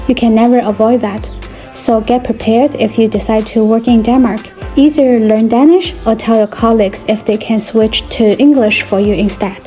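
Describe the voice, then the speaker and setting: A young woman from China, a native Chinese speaker, calmly explaining things in a scripted vlog narration. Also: fairly high pitch. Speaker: vlogger.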